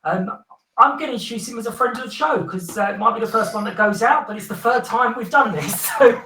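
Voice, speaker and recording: A man's voice: very high-pitched, fast talker, bright, forceful tone, roomy sound, distant mic, slight background noise, low-quality recording. A man hosting a casual podcast, chatty and friendly, laughing often between ums. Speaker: podcast host.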